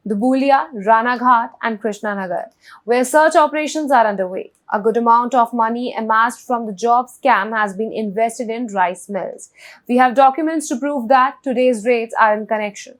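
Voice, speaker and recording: High-pitched Indian woman news anchor. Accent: Indian English. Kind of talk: scripted news reading.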